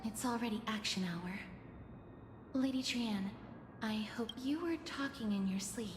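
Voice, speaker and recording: A woman voicing a calm, measured and kind young woman. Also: fairly high pitch, lightly acted. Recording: echoey, reverberant game audio. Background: game music.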